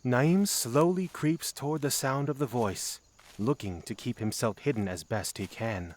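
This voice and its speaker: A man's voice: mid-range voice, unhurried. Man narrating a fantasy story. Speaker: narrator of an audio drama.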